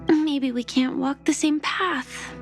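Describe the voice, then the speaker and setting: A woman playing a gentle, earnest young heroine in an anime-style video game. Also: high voice, steady tone, takes it slowly, gravelly, heavily acted. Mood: tender. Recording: music behind.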